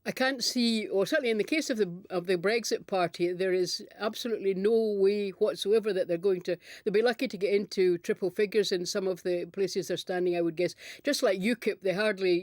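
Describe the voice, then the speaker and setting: A woman's voice: mid-range voice, darkish tone, dry recording. Scottish woman pundit, dry close sound. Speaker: political commentator, panel pundit. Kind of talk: conversational political analysis.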